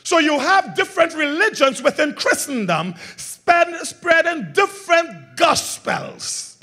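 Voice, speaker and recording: Male pastor preaching, very high voice, call-and-response questions, loud and quiet swings. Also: expressive, slow. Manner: swings between loud emphatic lines and quiet low ones. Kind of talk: sermon.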